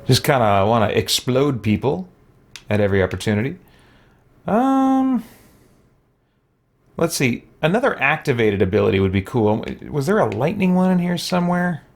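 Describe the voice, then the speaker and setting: A man's voice: mid-range voice, big pitch swings, moderate pace, pauses often, soft background music. A man giving casual let's play commentary, thinking aloud and musing to himself as he goes. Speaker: gaming video host.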